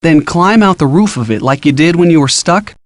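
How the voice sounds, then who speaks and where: An actor playing a helpful, upbeat college-age boyfriend with an American accent, giving casual, encouraging detective hints in an adventure game. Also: male voice, fairly high pitch, animated, a little fast, no room sound, close to an everyday voice. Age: young adult. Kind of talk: scripted game hint dialogue.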